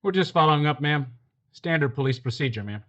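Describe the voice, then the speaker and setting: An actor playing a terse police detective who narrates the case in a clipped, matter-of-fact way. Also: male voice, a little high, expressive, fast, dull audio, background noise, lightly acted. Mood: deadpan.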